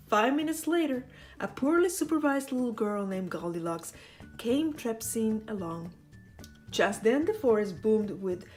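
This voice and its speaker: A woman's voice: high voice, a little mellow. Playful woman reading a picture book aloud. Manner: playful, teasing asides. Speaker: storyteller.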